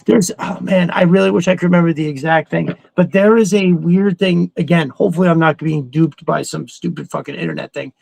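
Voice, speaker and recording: Excitable high-voiced man with creaky edge. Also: a little fast, few pauses, dry recording. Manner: excitable, rambling, profane. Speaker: podcast co-host. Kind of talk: casual fan chat.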